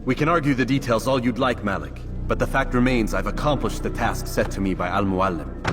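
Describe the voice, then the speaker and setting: Man playing cold arrogant assassin, lowish voice, terse, defiant, reverberant, music underneath, video game. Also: a little fast, lightly acted. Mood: proud. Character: stern, arrogant young male assassin. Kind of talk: video game cutscene acting. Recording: reverberant hall, music behind.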